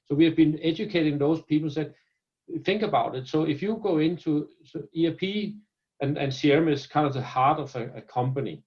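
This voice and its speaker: A man's voice: fairly high pitch, brisk, dull audio. A man from Denmark with a Danish accent, a company founder talking earnestly in a business interview and often repeating words as he restarts. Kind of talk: business interview over video call.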